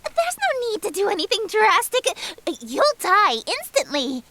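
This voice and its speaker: Very high-pitched haughty eldritch goddess. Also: female voice, wildly swooping pitch, unhurried, pushed and bright, slight background noise, full character voice. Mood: alarmed. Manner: theatrical, domineering, grandiose. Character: haughty female eldritch deity, imperious theatrical seductress. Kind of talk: anime-style visual novel game acting.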